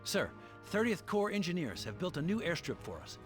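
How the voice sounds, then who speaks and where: An actor playing a brisk army officer reporting to his general in a military video game. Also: high-pitched, sing-song, over music. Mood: clipped, respectful.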